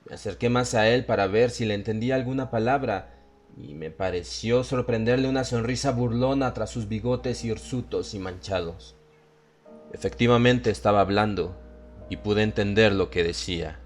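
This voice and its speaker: A man narrates a horror audiobook in a fairly low voice. The delivery is scripted storytelling, with music playing faintly behind him. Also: moderate pace.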